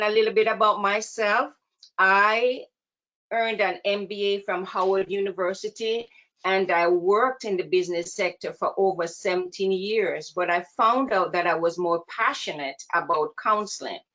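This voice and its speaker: A woman with a Jamaican accent giving an earnest, measured webinar presentation as a counselor, heard over a video call. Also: mid-range voice, a bit bright. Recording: dull lo-fi video call audio.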